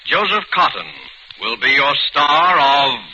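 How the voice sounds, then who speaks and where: A man's voice: a little high, animated, a little slow, pushed and bright, breathy edge. A man giving scripted 1940s radio program announcements in an emphatic, declamatory style. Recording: dull 1940s radio broadcast.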